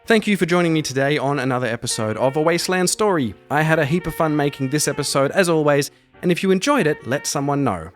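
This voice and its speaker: A man hosts a roleplaying podcast with a scripted, narrated delivery. His pitch moves in a lively, animated way, and soft music plays behind him. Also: quick pace. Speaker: podcast host and solo game master.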